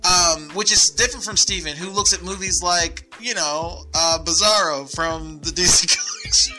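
A man in his thirties chatting casually as a podcast co-host, animated and teasing, with plenty of sweary banter. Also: high-pitched, normal speed, faint music behind, poor audio. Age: 30s. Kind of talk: casual chat about films and television.

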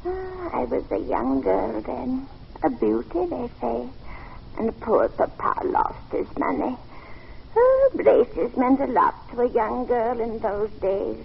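A woman's voice: fairly high pitch, big pitch swings, heavily acted. An actress playing a haughty, querulous grand old lady of about eighty in an old-time radio drama. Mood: grand, wistful. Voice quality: creaky, aged. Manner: haughty, grande-dame, drawn-out phrasing. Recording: dull, noisy old radio recording.

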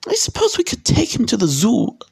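A middle-aged storybook aunt in a very high, shrill voice. She is fussy, snappish and melodramatic, and her pitch swoops wildly. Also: huge pitch swings, a little fast, creaky, breathy edge, full character voice. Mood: slow, grudging.

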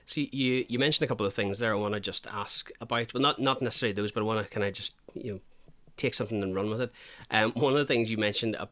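A man's voice: on the low side, fast, a bit bright, dry recording. A man hosting an interview, talking earnestly and hesitantly with many fillers. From Northern Ireland. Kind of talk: conversational interview.

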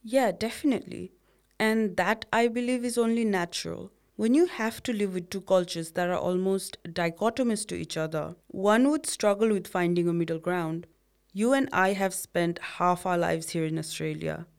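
A young woman from a Bhutanese refugee background who grew up in Nepal, speaking in a measured, reflective, read-aloud way about identity. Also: mid-range voice, vocal fry, smooth. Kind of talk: scripted conversation.

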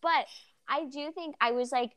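A woman's voice: sits high, normal speed, muffled recording. A woman co-hosting a casual podcast chat, from the Philippines, talking freely and laughing often. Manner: chatty, laughs often, lively storytelling. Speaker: podcast co-host.

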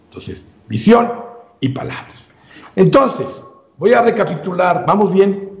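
A man speaking as an interview guest, giving a long measured explanation with frequent filler sounds and restarts. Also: high-pitched, very animated, vocal fry, darkish tone. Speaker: guest researcher. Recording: roomy, dull lo-fi sound.

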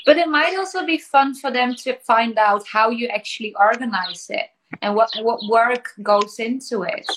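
A woman hosting a podcast interview, upbeat and encouraging, speaking clearly for learners. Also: fairly high pitch, moderate pace, background noise. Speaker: podcast host.

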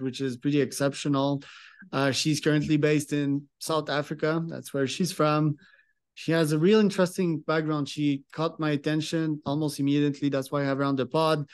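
Flat-toned, higher-pitched male podcast host. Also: smooth. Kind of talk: casual podcast introduction and questions.

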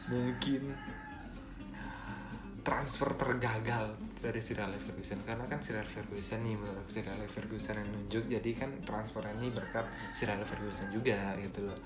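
A young man with a Balinese accent talks through a casual solo podcast in a lowish voice. He rambles, stops to correct himself and fills gaps with ums. His voice rings in a roomy space while guitar music plays clearly behind him. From Bali. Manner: chatty, rambling, with many fillers and self-corrections. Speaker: podcast host.

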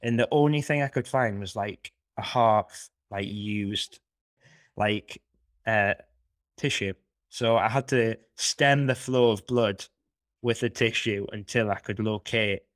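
A man's voice: fairly low pitch, slow pace, no room sound, studio quality. A man co-hosting a podcast, telling a long rambling story in a casual chat. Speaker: podcast co-host.

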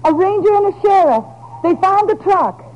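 A woman's voice: very high voice, expressive, moderate pace. A woman playing a homely, anxious rancher's wife with an American accent in a 1950s radio drama. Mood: hospitable, anxious. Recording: very noisy, muffled 1950s radio recording.

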